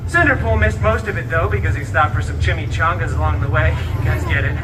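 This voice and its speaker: A man's voice: a little high, fast, heavy background noise, lightly acted. A man playing a wisecracking, hyperactive antihero who tells a comic bedtime story theatrically, with sarcastic, camp asides to the audience. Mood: playful, boastful. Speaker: theme park live show performer. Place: outdoor theme park show, amplified, filmed from the audience. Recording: loud music and crowd, distant outdoor amplified sound.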